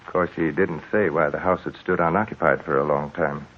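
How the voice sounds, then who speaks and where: An actor playing a steady, skeptical man who reasons with his friend, in measured, stagey 1940s radio drama style. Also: extremely low pitch, slightly rough, highs cut off. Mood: calm, reasoning. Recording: thin, hissy 1940s radio recording.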